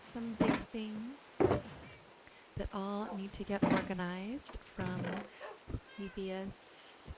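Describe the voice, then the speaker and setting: A woman gently narrating her gameplay as a sleep ASMR host, soothing and unhurried. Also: medium pitch, very noisy. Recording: music behind the voice. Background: soft music, game rain and animal sounds.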